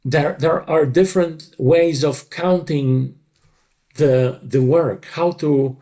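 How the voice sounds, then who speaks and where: Older man with a creaky voice. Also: a little high, slow pace, warm tone. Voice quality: creaky, untrained, slightly tired voice. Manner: emphatic, repeats words for stress. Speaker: dubbing director. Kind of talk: spoken interview, explaining the craft.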